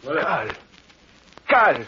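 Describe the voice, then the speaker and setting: A sickly, gray-haired old caretaker in an old-time radio drama. His voice is high-pitched and rises and falls widely, with a shaky and agitated manner. The sound is dull, with a faint hiss. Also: sing-song, extremely slow, exaggerated voice. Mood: shocked. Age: older. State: ill. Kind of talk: 1940s radio acting.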